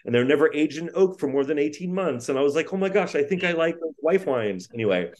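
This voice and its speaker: A middle-aged man from England, a guest on a podcast, telling anecdotes in a casual, lively way and acting out the voices of the people he quotes. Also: a little high, fast talker. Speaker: podcast guest, wine negotiant. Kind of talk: casual storytelling interview.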